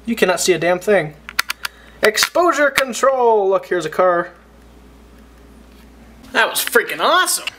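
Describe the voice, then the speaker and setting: Excitable young man vlogging at home. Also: high-pitched, noisy background. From Alaska, United States. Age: young adult. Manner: excitable, rambling, quick asides. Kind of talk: casual vlog to camera. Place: living room of a house, indoors. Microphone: handheld camera at arm's length.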